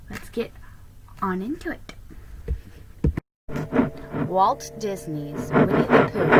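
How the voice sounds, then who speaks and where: A woman hosting a holiday read-aloud, mixing casual chat with dramatic storybook reading in a halting way that stumbles over words. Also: mid-range voice, noisy background.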